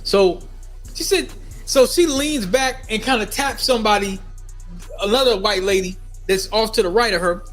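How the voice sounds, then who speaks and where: A middle-aged man from Minnesota telling a personal story in a casual, animated way, repeating phrases for emphasis. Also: sits high, moderate pace, soft background music. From United States, Minnesota. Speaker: storyteller vlogger.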